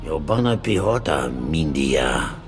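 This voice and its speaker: An actor voicing a gruff alien video game character, guttural and declamatory, speaking in an invented tongue. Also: male voice, a bass voice, sing-song, takes it slowly, over music, full character voice. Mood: gruff, challenging.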